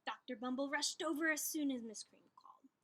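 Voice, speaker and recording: A woman narrating a children's storybook aloud in an expressive style. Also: high-pitched, brisk. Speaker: storybook reader.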